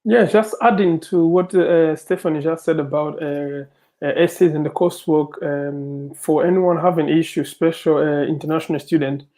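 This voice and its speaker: A man's voice: fairly high pitch, fairly even intonation, normal speed, warm tone. A man whose first language is Portuguese, an international student on a panel, speaking hesitantly and searching for words. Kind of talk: panel discussion.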